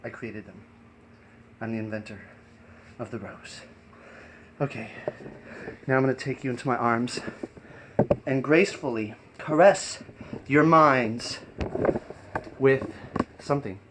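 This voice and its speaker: A man chatting off the cuff on a livestream, rambling and halting in an eccentric way. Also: medium pitch, background noise. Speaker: live streamer and variety performer. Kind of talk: improvised livestream chat.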